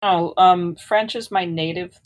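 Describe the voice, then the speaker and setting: A woman's voice: fairly low pitch, steady tone, measured pace. A woman playing in a tabletop game, chatting casually with dry, wry remarks. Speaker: player.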